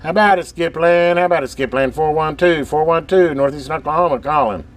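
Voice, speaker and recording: A man's voice: fairly high pitch, brisk. An American man chatting casually and hands-on as a radio hobbyist, in a folksy, rambling way as he thinks aloud. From United States. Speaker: radio hobbyist presenting on his channel. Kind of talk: casual hands-on video chat. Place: workbench with radio gear. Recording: steady background noise.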